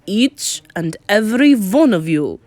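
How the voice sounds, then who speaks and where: A woman's voice: low voice, no echo, soft background music. A woman voicing a tyrannical, venomous witch in a children's audiobook, screeching and thundering her commands. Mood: commanding. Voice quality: screeching, harsh. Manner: thunderous, drawn-out, commanding, broken foreign-style grammar. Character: tyrannical, venomous Grand High Witch, female villain.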